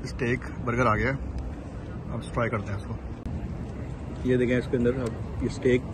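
A man's voice: medium pitch, little pitch movement, normal speed. A man in his thirties casually reviewing food at an outdoor food truck park, savoring each bite and mixing Hindi with English, filmed on a handheld phone. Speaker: food vlogger. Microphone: handheld phone camera. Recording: outdoor street noise. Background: outdoor traffic and street ambience.

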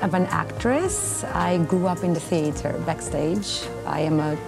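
Low-voiced Armenian-accented woman over music. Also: a little slow, gravelly. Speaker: cellist and vocalist. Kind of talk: interview testimonial. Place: indoor backstage area, seated.

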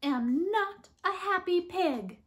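A woman voicing an excitable little pig from a picture book, exclaiming and stretching out her words. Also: extremely high pitch, slow pace, full character voice. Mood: upset, indignant. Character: excitable little pig, quick to delight and dismay.

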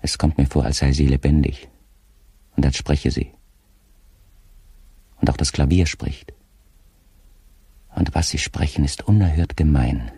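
An actor playing a tormented, guilt-ridden official slipping into madness, reading his diary in a brooding, deliberate way in an audio drama. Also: extremely low pitch, steady tone, vocal fry, mellow, breathy edge, no room sound, full character voice. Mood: haunted, anguished.

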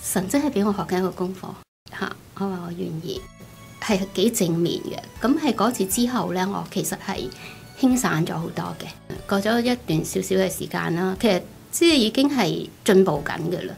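A middle-aged woman with a Hong Kong Cantonese accent, giving a personal testimony in an expressive, conversational storytelling way. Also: medium pitch, fairly quick, creaky. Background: background music.

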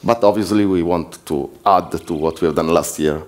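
A man's voice: low voice, moderate pace. A man from southern Switzerland with an Italian accent, presenting at a developer conference as one of its organizers, in English with some slips and restarts. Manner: non-native English with restarts, slips and laughs. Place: conference hall.

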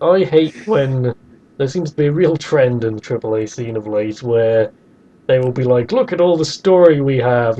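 A man hosting a podcast, chatting casually and with opinions about video games, in an animated way. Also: on the high side, dull audio. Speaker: podcast host.

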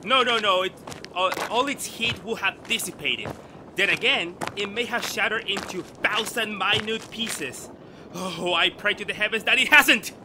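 Man acting excitable, high-voiced gentleman astronomer, radio drama. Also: moderate pace, background noise. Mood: breathless excitement. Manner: theatrical, grandiose, professorial. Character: excitable, lecturing amateur astronomer, doting on his sweetheart.

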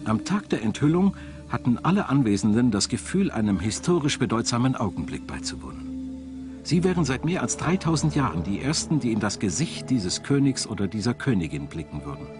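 A man narrating a scripted documentary in a measured, solemn storytelling manner. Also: mid-range voice, very animated. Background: background music.

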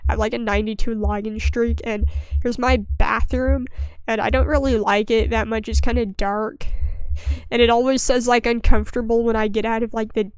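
A young woman with a fairly high, smooth voice chats her way through a game video. She sounds a little sniffly from a cold and rambles in a self-deprecating, dryly joking way. The recording is dry and close to the microphone. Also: steady tone, normal speed, no echo, low-quality recording. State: sniffly, getting over a cold. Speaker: gaming video creator. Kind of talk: casual gameplay commentary.

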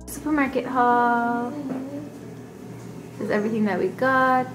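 A woman chatting casually as a vlogger, with an upbeat, expressive manner. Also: on the high side, a little slow, loud music behind the voice. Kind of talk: casual vlog chat.

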